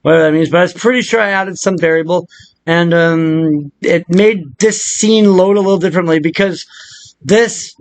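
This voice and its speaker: A man hosting a casual software tutorial, rambling and muttering asides to himself in a crude, joking, profane way. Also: high-pitched, moderate pace. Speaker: video tutorial host.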